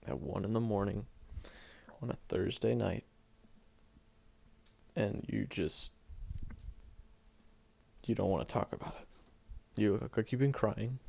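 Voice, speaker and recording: A man voicing a grumpy tsundere teenage boy who is gruff but caring, grumbling, sighing and muttering half-whispered asides in an audio roleplay. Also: lowish voice, warm tone, highs cut off, exaggerated voice.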